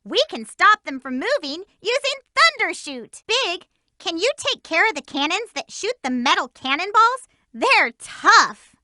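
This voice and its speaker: A woman's voice: very high voice, big pitch swings, measured pace, bright, forceful tone, no room sound, clean studio sound, heavily acted. A woman voicing a peppy, eager young female hedgehog heroine for a video game, giving upbeat instructions to her team. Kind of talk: game voice clips.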